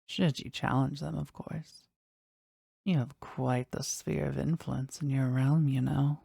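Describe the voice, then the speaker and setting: A powerful demon, played by a woman speaks slowly and intimately in a gravelly low voice. The phrasing is formal and reverent, possessively devoted to a master, as in a scripted audio drama recorded close and dry. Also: steady tone, warm tone, no echo, heavily acted. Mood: proud, devoted. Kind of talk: scripted roleplay audio drama, addressed to the listener.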